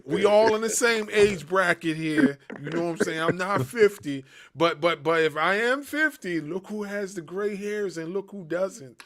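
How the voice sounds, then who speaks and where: A man in his forties hosting a casual chat, animated, laughing often and repeating his phrases. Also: high voice, normal speed, few pauses. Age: 40s.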